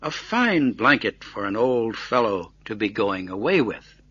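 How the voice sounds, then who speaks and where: A man voicing a gentle, forgiving old grandfather in a storybook tale, speaking softly with quiet resignation. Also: fairly low pitch, big pitch swings, a little slow, husky, muffled recording, full character voice. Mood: resigned. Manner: gentle, soft.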